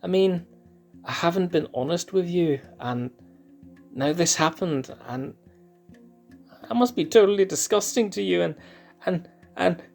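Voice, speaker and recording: A shy, stammering young lion cub with a high, reedy voice, as a man puts him on. He trips over his words, hesitant and jittery, then turns playful and teasing. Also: expressive, measured pace, creaky, long pauses, soft background music, heavily acted. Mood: nervous.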